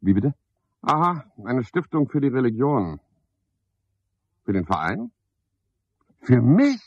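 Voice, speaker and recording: Pompous, husky porter, swooping delivery. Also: male voice, mid-range voice, huge pitch swings, slow pace, a little mellow, dry recording, full character voice. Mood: wheedling, effusive. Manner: wordy, self-important, rambling in clipped phrases. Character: garrulous, pompous, scheming male porter of a care home. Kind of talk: radio play monologue, theatrical. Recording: dull 1970s radio play recording.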